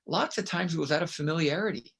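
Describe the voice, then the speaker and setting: A middle-aged man from Canada with a loud voice, speaking as a guest in an interview. He is emphatic and tells stories, and he often ends his points with a quick "right?". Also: a little high, vocal fry, husky. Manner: emphatic, storytelling, with frequent "right?" tags. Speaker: guest author and consultant. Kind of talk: conversational interview.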